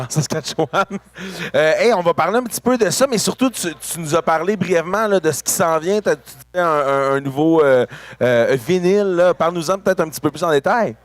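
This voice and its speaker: A man's voice: fairly high pitch, expressive, brisk, no room sound. A man interviewing an artist backstage at a festival, casually, often stumbling over his words. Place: backstage at a concert venue.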